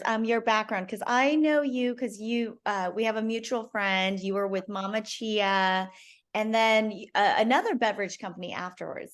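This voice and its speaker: Encouraging woman podcast host. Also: fairly high pitch. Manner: encouraging, frequent backchannel murmurs. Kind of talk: casual interview chat.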